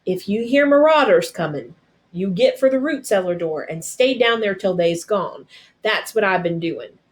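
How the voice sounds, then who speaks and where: A woman's voice: mid-range voice, lively intonation, moderate pace, close to an everyday voice. A woman reading a storybook as a loving, devout middle-aged mother with a tender, folksy way of talking. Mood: reassuring. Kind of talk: storybook read-aloud character.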